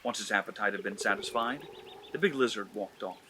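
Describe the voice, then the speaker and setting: A man's voice: on the low side, brisk, noisy background. A man hosting a comedy channel, giving an erotic story a mock-serious dramatic reading. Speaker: narrator and host.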